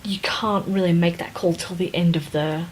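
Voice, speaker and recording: A woman's voice: fairly low pitch, fairly even intonation, moderate pace. A woman co-hosting a casual podcast book discussion, thinking aloud hesitantly and circling back over her phrases. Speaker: podcast co-host.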